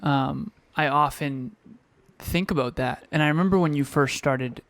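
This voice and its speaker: A man's voice: fairly high pitch, creaky, fairly bright tone, dry recording. Reflective male podcast co-host. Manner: reflective, fills pauses with um. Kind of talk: casual podcast chat. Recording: dry home recording with a fan running.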